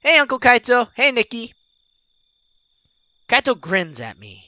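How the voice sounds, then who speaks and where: Lively high-voiced man reading a game. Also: a little slow, no echo, highs cut off. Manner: lively, laughs, breaks off to comment. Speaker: let's play host reading a visual novel. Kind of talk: playthrough reading with commentary.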